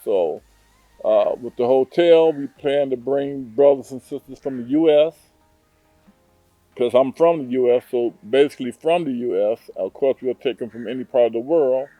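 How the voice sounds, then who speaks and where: A man from Detroit, a hotel owner, answering interview questions in an earnest, unhurried way with frequent fillers. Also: medium pitch, dark tone, no room sound, soft background music, rough recording. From Detroit, USA. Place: hotel in Zanzibar.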